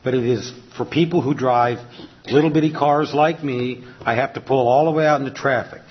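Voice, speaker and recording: A man's voice: mid-range voice, normal speed, muffled recording, a little hiss or hum. A man on a school board making a wry, plainspoken complaint. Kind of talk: public meeting remarks.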